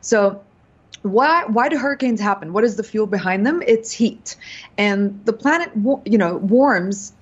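A woman's voice: mid-range voice, animated, unhurried, breathy edge, lo-fi sound. A woman reporting in a radio chat segment, explaining at length with many fillers.

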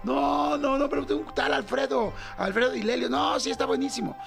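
A man with a Mexican accent voicing an excited Hollywood celebrity who gushes in slang. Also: very high voice, moderate pace, vocal fry, soft background music, voice pushed up, exaggerated voice. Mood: gushing. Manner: gushing and slangy.

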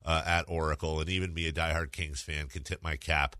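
A man's voice: rumbling low, fairly even intonation, a little fast, sharp tone, no echo, studio quality. A man hosting a sports radio show, putting conversational questions to a guest.

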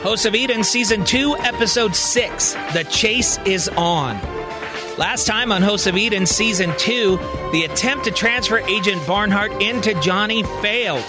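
A man narrating a scripted serial story as a podcast host, with a dramatic, deliberate storytelling delivery. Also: high voice, over music.

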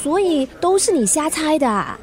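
A woman's voice: high-pitched, big pitch swings, moderate pace, faint music behind. A woman acting in a radio drama as a cheeky, impatient young daughter.

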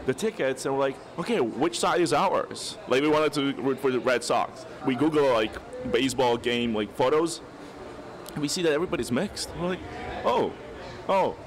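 A man's voice: mid-range voice, moderate pace. A man from Croatia with a Croatian accent, telling things casually as a soccer fan. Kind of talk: casual anecdote. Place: busy bar, field recording. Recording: busy bar crowd behind voice.

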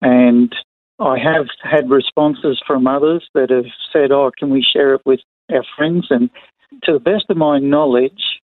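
An older Australian man from Queensland answering interview questions in a plain-spoken, conversational way, pausing between short phrases. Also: mid-range voice, fairly even intonation, no echo. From far north Queensland, Australia. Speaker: interview guest, retired sugarcane farmer. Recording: narrow phone-like line, dry.

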